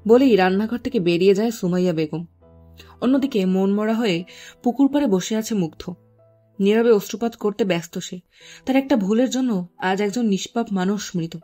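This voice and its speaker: Mellow woman narrating a story. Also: medium pitch, normal speed, faint music behind.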